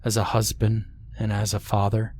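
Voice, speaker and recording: A man's voice: on the low side, completely flat delivery, moderate pace, slight background noise, close to an everyday voice. A man doing a possessed, accusing daughter. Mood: cold accusing. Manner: quiet muttering, near whisper.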